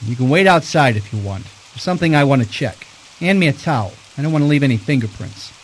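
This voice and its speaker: A man's voice: mid-range voice, expressive, fast talker, a little hiss or hum, natural voice, low-quality recording. Actor as wry, confident male private detective. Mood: focused. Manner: wry, self-assured. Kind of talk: audio mystery drama acting.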